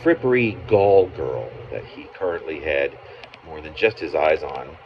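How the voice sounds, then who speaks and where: A slightly dull home recording with faint background noise carries a man's very low voice. He reads a literary narrative of his own writing, breaking the sentences into halting pieces with pauses in unexpected places. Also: lively intonation. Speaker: poet, author reading own work. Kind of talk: literary reading of a narrative poem. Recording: muffled, noisy amateur home recording.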